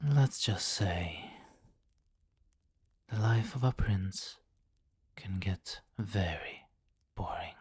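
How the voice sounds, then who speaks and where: A man playing a possessive, teasing vampire king in an intimate ASMR roleplay, commanding and breathy, often slipping into whispers on a close mic. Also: low-pitched, expressive, extremely slow, long pauses, no echo, exaggerated voice. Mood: teasing, amused. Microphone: close microphone, dry.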